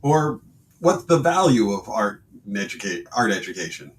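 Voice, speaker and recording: A man co-hosting a podcast in casual chat, hesitant and quick to laugh. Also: mid-range voice, very animated. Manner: hesitant, laughs often, many restarts. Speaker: podcast co-host.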